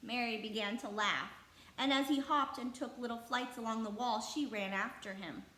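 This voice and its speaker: A woman narrating a children's storybook aloud in an expressive, scripted read-aloud style. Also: on the high side, moderate pace. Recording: echoey room with faint background noise.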